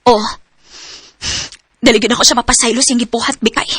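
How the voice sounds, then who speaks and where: A woman plays a young working woman in a radio drama, speaking. Her voice sits high, and the delivery is soft and earnest. It is recorded close and dry. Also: steady tone, a little fast, husky, dry recording. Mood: resentful. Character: young woman Trisha, office worker, hurt by her mother.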